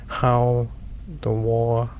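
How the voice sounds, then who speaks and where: A man's voice: mid-range voice, monotone, drawn-out words, muffled recording, a little hiss or hum. A man answering in an interview, hesitant, with many fillers and restarts.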